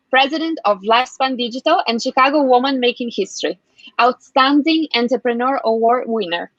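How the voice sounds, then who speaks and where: A woman's voice: high-pitched, fairly bright tone, no room sound. A woman hosting a live online interview, effusive in her wording and a little hesitant in phrasing. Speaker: host, interviewer. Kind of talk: live online interview, scripted introduction and questions. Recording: dull, lo-fi, dry sound.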